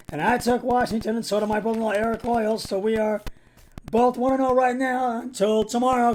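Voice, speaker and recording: Raspy, halting man recapping sports. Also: extremely high pitch, darkish tone, a little hiss or hum, rough recording. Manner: halting, hesitant delivery with frequent stumbles. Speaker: sports show host. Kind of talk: amateur football game recap.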